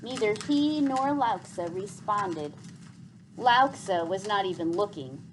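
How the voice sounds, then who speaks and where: A woman narrates a children's novel aloud in an expressive, deliberate, dramatic storybook style, as scripted reading. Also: mid-range voice, slight background noise, poor audio.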